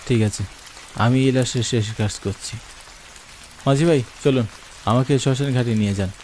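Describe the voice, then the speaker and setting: A man's voice: mid-range voice, moderate pace, darkish tone. A man giving dramatic, scripted first-person narration of a horror story in a theatrical, earnest manner. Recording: clear rain noise behind the voice. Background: falling rain.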